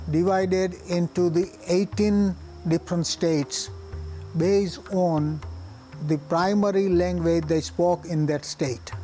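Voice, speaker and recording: An older man from Gujarat in India, a native Gujarati speaker with a Gujarati Indian accent, recalling his life in plain, halting speech with pauses and restarts. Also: sits high, dark tone. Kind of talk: oral history reminiscence.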